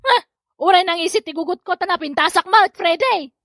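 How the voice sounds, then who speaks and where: An actress as a very high-voiced village gossip in an Ilocano comedy drama. She is nosy and quick to take offence, swinging widely in pitch with an Ilocano accent. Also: lively intonation, a bit bright, no echo, clean studio sound, exaggerated voice. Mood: offended, bickering.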